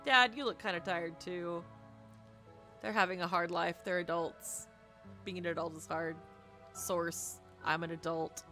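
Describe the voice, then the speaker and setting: A young woman streamer reading a visual novel aloud expressively, with dramatic, casual, animated asides. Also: fairly low pitch, unhurried, faint music behind.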